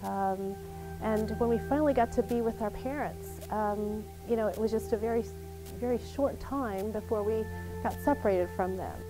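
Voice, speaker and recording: A woman soldier from Afghanistan, recalling her childhood in a documentary interview with many hesitant pauses. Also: medium pitch, music in the background, rough recording. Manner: reflective, many filler pauses.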